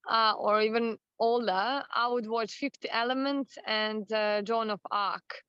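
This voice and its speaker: A woman from Serbia with a Serbian accent, a native Serbian speaker, talking casually and haltingly as an interview guest. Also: on the high side, steady tone, fairly bright tone, clean tone, no room sound. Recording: slightly dull, lo-fi sound.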